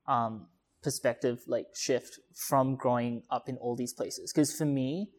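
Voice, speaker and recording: A young man hosting a podcast, asking questions in a halting, searching way with many fillers. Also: medium pitch, steady tone. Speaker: podcast host. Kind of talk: casual interview chat.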